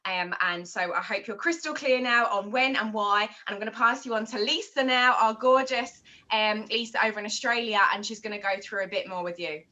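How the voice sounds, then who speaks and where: English woman, London-raised team leader, crisp bright voice, direct coaching. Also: mid-range voice, fairly quick, few pauses. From London, England. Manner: direct, questioning. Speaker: marketing director leading a team call. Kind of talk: motivational training call.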